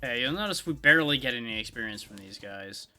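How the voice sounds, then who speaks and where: Man livestreaming over clear game music. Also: mid-range voice, lively intonation, quick pace, bright, forceful tone. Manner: thinks aloud, explains game mechanics conversationally. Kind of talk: casual live gameplay commentary. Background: video game music.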